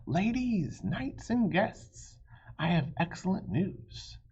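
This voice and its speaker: A man's voice: high-pitched, sing-song, slow pace, darkish tone, husky, muffled recording, pitched above the performer's own voice, exaggerated voice. A man voicing a commanding, gracious king who proclaims formally to his knights in a storybook. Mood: proud, announcing.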